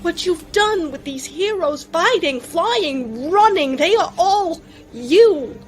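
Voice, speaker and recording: Slow, high-pitched devoted wife. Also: female voice, lively intonation, full character voice. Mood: heartfelt. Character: devoted, tender yet fighting wife of an artist. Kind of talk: audio drama acting. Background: faint music.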